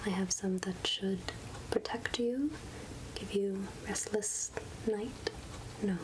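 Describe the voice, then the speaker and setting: Witch potion seller, acted by a woman, soft whispery voice, slow murmuring delivery, kindly and absent-minded, close-up ASMR, noticeable noise behind. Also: mid-range voice, steady tone, close to an everyday voice. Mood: musing, friendly. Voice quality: soft, breathy, half-whispered. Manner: slow, gentle, hesitant murmuring with repeated phrases. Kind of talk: soft-spoken ASMR roleplay.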